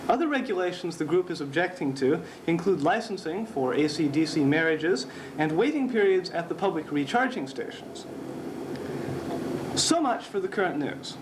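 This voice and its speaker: A man playing a deadpan news anchor of the future, reading a humorous newscast in a measured, mock-serious way. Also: fairly high pitch, natural voice. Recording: faint noise, amateur 1970s recording.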